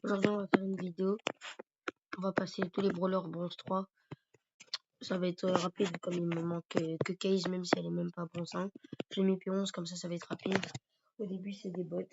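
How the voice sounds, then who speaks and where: A man's voice: sits high, level tone, brisk, creaky, heavy background noise. A man with a cold and a sore throat, rambling hesitantly through casual commentary over his gameplay. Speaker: gaming YouTuber. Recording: dull lo-fi recording.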